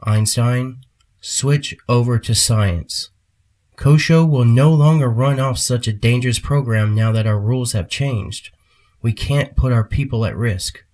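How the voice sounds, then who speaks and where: A man's voice: mid-range voice, unhurried, darkish tone, close to an everyday voice. A man playing a stern, commanding boss in an audio drama. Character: stern male boss ordering a scientist around.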